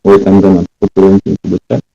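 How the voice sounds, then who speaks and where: A man's voice: low voice, little pitch movement, quick pace, gravelly, warm tone, dry recording, soft background music. A man on a voice call, speaking hesitantly with many fillers through a distant mic. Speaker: participant on a voice call. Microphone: distant computer mic on a voice call. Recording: dull, muffled call audio.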